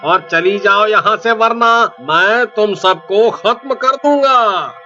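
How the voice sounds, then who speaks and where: Guy doing stern village priest, bossy forceful voice, slow commands, horror cartoon, bit dull audio. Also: high-pitched, soft background music, full character voice. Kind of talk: animated horror folk tale acting.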